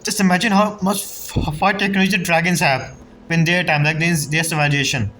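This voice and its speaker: A man's voice: a little high, steady tone, a little fast, pushed and bright, a little hiss or hum, rough recording. A man reacting casually to a game story, swearing and talking with loose grammar. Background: faint game music.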